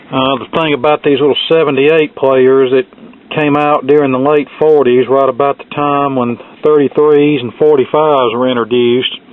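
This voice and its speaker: A man's voice: mid-range voice, fairly even intonation, normal speed, phone-quality sound. A man talking casually and off the cuff in a folksy way as he narrates hands-on repair work. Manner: folksy, unscripted. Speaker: repair channel host.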